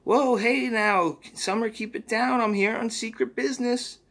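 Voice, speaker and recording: A man's voice: very high voice, fairly even intonation, unhurried, a bit bright, pitched above the performer's own voice, full character voice. A man voicing a cheery, folksy little male poop creature from a comic, who keeps saying "ooh-wee.". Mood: hushed urgent.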